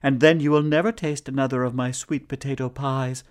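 A man's voice: fairly even intonation, fairly quick, clear, resonant tone, natural voice. A male storyteller voicing a brave, clever wife who bakes pies, in a raised voice. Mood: defiant. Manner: high-pitched, pleading then firm. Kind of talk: storytelling performance.

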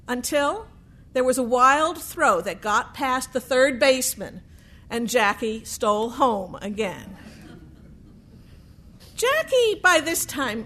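A woman storyteller narrating history to listeners in deliberate, dramatic phrases with pauses. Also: high-pitched, fairly bright tone.

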